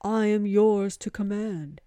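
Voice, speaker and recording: A woman's voice: medium pitch, takes it slowly, dark tone, clean tone, no echo, voice pushed up, close to an everyday voice. A woman voicing an exuberant, devoted male monkey god who speaks with grand reverence.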